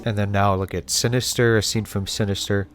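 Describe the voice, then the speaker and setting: A man with a fairly low voice hosts a commentary in a casual, conversational way. He explains things step by step and slips in wry, offhand remarks. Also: fairly even intonation, fairly quick, music in the background. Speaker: host. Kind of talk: casual video analysis commentary.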